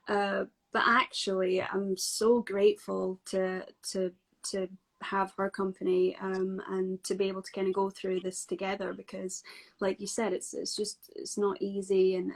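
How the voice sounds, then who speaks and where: A woman's voice: mid-range voice, vocal fry. Chatty Scottish woman, hesitant. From Scotland. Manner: chatty and hesitant, many fillers and restarts. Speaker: guest. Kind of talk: casual video-call interview. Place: home.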